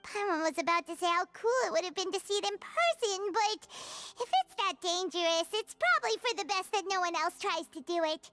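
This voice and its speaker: A woman voicing a tiny, childlike, curious companion creature in a video game, squeaky, perky and chatty. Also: brisk, hardly any pauses, pushed and bright. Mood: musing. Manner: perky, refers to self in third person. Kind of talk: scripted video game dialogue. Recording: music under the voice.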